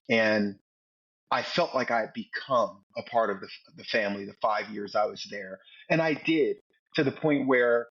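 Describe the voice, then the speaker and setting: A man in his forties from the United States, a former Navy SEAL telling his story as a podcast guest, earnest and reflective, often repeating words as he gathers his thoughts. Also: mid-range voice. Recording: dull lo-fi recording.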